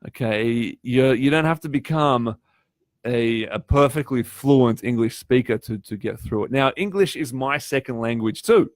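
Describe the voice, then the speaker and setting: A man's voice: mid-range voice, measured pace, no echo, clean studio sound. A man who grew up speaking Polish, giving a chatty live-streamed coaching talk on test preparation and often turning to his audience with questions. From Poland. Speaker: test prep tutor.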